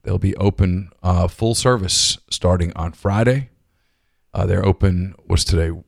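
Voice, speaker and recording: A man's voice: low voice, unhurried, gravelly, no echo. A man co-hosting a podcast in a studio, chatty and rambling through ads and small talk. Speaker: podcast co-host. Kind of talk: casual sports talk and live ad reads. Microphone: studio microphone.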